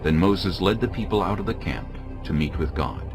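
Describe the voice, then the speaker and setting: A man giving a measured, solemn scripted narration of scripture as the narrator. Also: low voice, lively intonation. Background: music.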